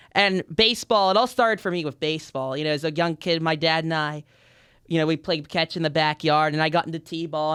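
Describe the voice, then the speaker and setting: A man's voice: on the high side, a bit bright, clean tone, dry recording. A young man, a podcast guest in a university studio, chatting in an upbeat, outgoing way with frequent restarts. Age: young adult. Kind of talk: casual interview chat.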